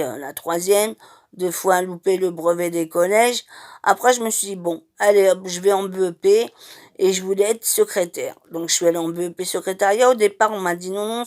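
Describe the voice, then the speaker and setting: A middle-aged woman from Madeira telling her life story in an unscripted, hesitant way, with many pauses and restarts. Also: medium pitch. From Madeira, Portugal. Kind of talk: personal testimony, unscripted.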